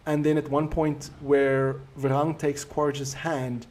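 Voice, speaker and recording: A man with a South African accent, whose first language is Afrikaans, hosting a live stream and answering viewer comments in a relaxed, reflective way. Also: a little high, slow. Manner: reflective, easygoing and conversational.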